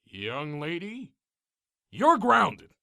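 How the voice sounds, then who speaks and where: A man's voice: high voice, wildly swooping pitch, very slow, creaky, pushed and bright, no room sound, clean studio sound, heavily acted. A man voicing a stern, indignant wealthy stallion father in a dramatized story, with a firm, formal, scolding manner.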